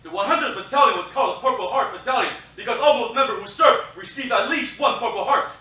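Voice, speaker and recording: An actor playing a cocky, jive-loving twenty-year-old Japanese-American soldier, with a punchy, projected staged-reading delivery. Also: male voice, very high-pitched, quick pace, pushed and bright. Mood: proud. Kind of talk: staged reading of a historical play. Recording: very echoey theatre, distant mic.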